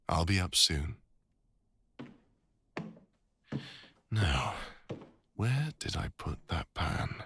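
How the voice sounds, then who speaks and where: Very deep British older boyfriend, played by a man. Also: vocal fry, fairly bright tone, husky, exaggerated voice. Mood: playful, teasing. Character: devoted, teasing older boyfriend, a father of a grown son. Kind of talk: boyfriend ASMR audio roleplay.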